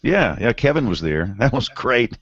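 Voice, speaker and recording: A man's voice: mid-range voice, very animated, normal speed, fairly bright tone, husky, dry recording, muffled recording. A man hosting a weather podcast in a studio, with loose, laughing banter. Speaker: podcast host. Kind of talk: casual chat and show opening. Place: broadcast studio.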